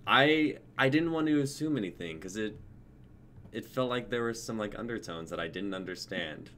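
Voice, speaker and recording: A man's voice: on the low side, lively intonation, moderate pace, fairly bright tone, slight background noise. A young man chatting casually and encouragingly as a co-host of a group stream. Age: young adult. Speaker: co-host of an online readers' stream.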